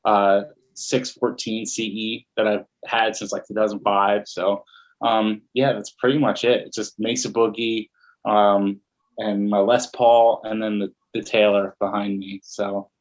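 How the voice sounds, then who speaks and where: A male musician guest in a casual interview, talking in a low-key, matter-of-fact way. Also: flat delivery, a little slow, rough recording.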